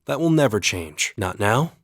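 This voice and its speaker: A man voicing a calm, earnest young highland warrior in a video game, with measured, slightly formal phrasing. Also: dry recording, studio quality. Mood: sincere, warm. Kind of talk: English video game voice acting.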